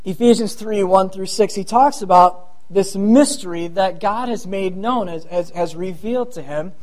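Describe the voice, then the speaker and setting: A man's voice: sits high, normal speed, darkish tone. A middle-aged man with a thin voice giving a sermon as pastor, chatty and self-deprecating with rambling asides.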